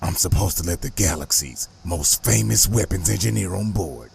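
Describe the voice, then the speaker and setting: A man playing a gruff, suspicious robot security chief, curt, officious and sardonic. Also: low voice, lively intonation, normal speed, darkish tone, slight background noise, heavily acted.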